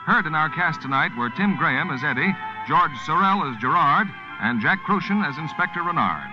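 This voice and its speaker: A man's voice: on the high side, animated, normal speed. A man announcing and reading advertisements on 1940s radio with an emphatic salesman's delivery. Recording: dull vintage radio broadcast with music.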